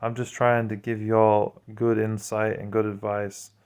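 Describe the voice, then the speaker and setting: A man's voice: fairly low pitch, little pitch movement, measured pace, darkish tone, smooth. A man speaking casually and earnestly to viewers as a vlogger, giving admonishing religious testimony.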